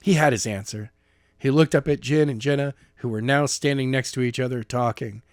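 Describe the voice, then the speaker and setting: A man narrating a novel chapter in a steady, plain reading voice at a mid pitch. The recording is close and dry, with no room sound. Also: brisk, no echo. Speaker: audiobook narrator.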